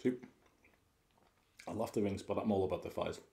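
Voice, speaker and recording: Low-voiced Austrian man chatting while eating. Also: moderate pace, creaky. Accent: Viennese Austrian with a Scottish tinge. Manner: relaxed, chatting between bites. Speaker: mukbang host. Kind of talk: ASMR eating chat.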